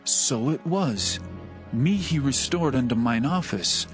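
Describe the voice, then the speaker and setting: A man's voice: medium pitch, animated, takes it slowly. Man playing a contrite, deferential royal servant. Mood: contrite, earnest. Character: contrite royal servant confessing and recounting the past. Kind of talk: dramatized scripture reading. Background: soothing background music.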